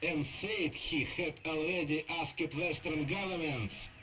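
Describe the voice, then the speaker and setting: An obedient state newsreader delivers a game's radio bulletin in stiff broken English. The Russian-accented voice is high, sharp and slow, with formal, halting phrasing. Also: male voice, slight background noise. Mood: officious. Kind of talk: scripted propaganda radio news bulletin.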